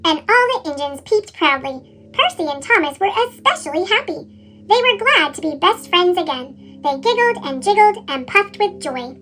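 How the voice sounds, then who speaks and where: A woman's voice: very high-pitched, sing-song, moderate pace, bright, forceful tone. A woman reads a children's story expressively, her voice altered with a sped-up chipmunk effect. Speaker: storybook reader.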